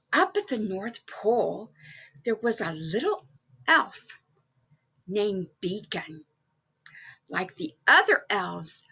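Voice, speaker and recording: A woman's voice: sits high, pushed and bright, muffled recording, exaggerated voice. A woman playing a cheerful, motherly Mrs Claus, reading a story aloud to young children and putting questions to them. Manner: exclaiming, addressing young children directly with questions.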